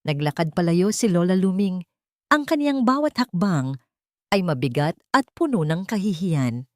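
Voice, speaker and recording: A woman's voice: on the low side, sing-song, moderate pace, no echo, studio quality. A woman narrating a scripted audio story in a dramatic storytelling style.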